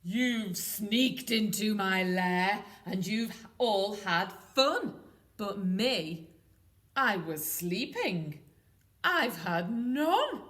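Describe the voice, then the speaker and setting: Woman playing a grumpy big male bear, loud and slow, sulky complaint, lively pitch. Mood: grumbling.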